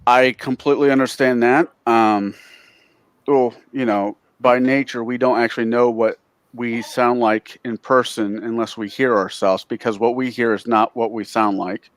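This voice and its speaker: A man chatting loudly and boisterously during a tabletop game livestream. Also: mid-range voice, steady tone, normal speed, no room sound. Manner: loud, boisterous talker. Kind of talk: casual chat on a livestream.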